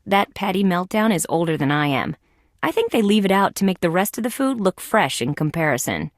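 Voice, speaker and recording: A woman's voice: medium pitch, very animated, brisk, no echo. A woman playing a wry, tough insect police detective in a video game, with a dry, sardonic, matter-of-fact delivery. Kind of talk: scripted game dialogue and observations.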